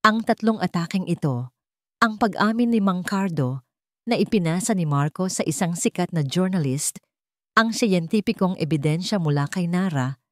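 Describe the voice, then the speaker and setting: A woman's voice: on the low side, dry recording, studio quality. A woman narrating a scripted story in a dramatic storytelling style.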